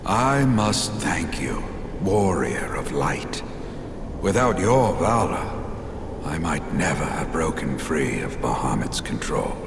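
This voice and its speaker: Very deep, gravelly old patriarch. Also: male voice, heavy background noise, exaggerated voice. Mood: tender, grave. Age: older. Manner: archaic, stately, theatrical diction. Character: solemn, grand old grandfather, an archon turned godlike primal. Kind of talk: fantasy game cutscene acting. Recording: long cavernous reverb, loud game music behind.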